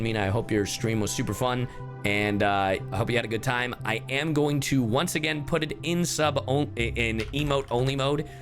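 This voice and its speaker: A man's voice: mid-range voice, a bit bright. A man live-streaming a game reaction, chatting casually to his viewers as host with slang and some swearing. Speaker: streamer and voice actor.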